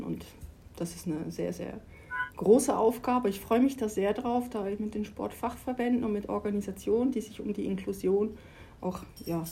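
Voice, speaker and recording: Woman, lively interview talk. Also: mid-range voice, gravelly, husky. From Switzerland. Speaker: sports organization official. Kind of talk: conversational interview.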